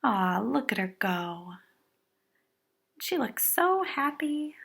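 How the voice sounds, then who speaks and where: A woman storyteller reads a children's book at an unhurried pace. Her voice is bright with a creaky edge, and she leans into words dramatically and adds small asides for young listeners. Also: on the high side, sing-song. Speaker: storyteller reading a picture book aloud. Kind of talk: expressive children's read-aloud with asides.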